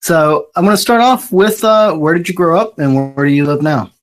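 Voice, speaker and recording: A man's voice: fairly high pitch, moderate pace. A man hosting a podcast, asking questions in a casual, conversational interview style. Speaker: podcast host.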